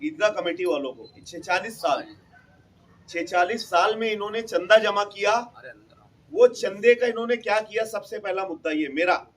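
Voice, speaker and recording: A man's voice: high-pitched, normal speed, a bit bright, far from the mic. A man making an impromptu, insistent speech at a public meeting, often repeating his points. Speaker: community member speaking at a public meeting. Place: local community meeting, at a distance from the mic.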